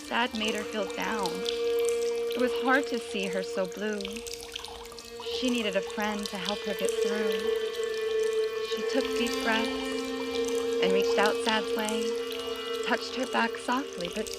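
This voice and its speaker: A woman reading a rhyming children's story aloud in an expressive, gentle, deliberate way, with music behind her. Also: medium pitch. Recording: prominent music under the voice.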